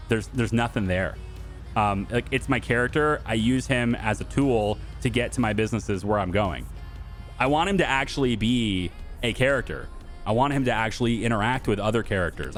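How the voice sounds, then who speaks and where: A man with a medium-pitched voice hosts a gaming video. He rambles casually, thinking aloud as he speaks straight to his audience in unscripted commentary. Music plays behind his voice. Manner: chatty, rambling, thinking aloud, addressing viewers and developers directly. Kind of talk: casual off-the-cuff game commentary to viewers.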